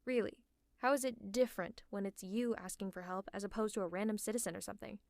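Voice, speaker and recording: A woman playing a sardonic female supervillain in an ASMR roleplay, teasing and scolding the listener in a gruffly caring way. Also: medium pitch, fairly even intonation, quick pace, darkish tone, dry recording, clean studio sound, natural voice. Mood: sarcastic scolding. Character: sardonic female supervillain, gruffly fussing over a sick hero. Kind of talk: ASMR roleplay, one-sided dialogue to the listener. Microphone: close mic, dry.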